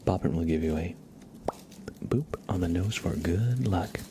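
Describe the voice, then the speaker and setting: A man speaks very softly and close to the microphone as he hosts an ASMR livestream. His voice is deep with a lot of creaky fry, often slipping into a breathy whisper. He rambles gently and casually to viewers, with a faint hiss behind him. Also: unhurried. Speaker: livestream host.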